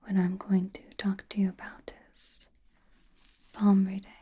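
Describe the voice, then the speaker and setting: A woman playing a mysterious, attentive sorceress fortune-teller in a whispered ASMR roleplay, speaking softly and deliberately close to the microphone. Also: mid-range voice, completely flat delivery. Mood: calm, attentive. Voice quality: breathy, mostly unvoiced whisper. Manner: slow, deliberate, soothing with soft pauses. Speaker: ASMR performer.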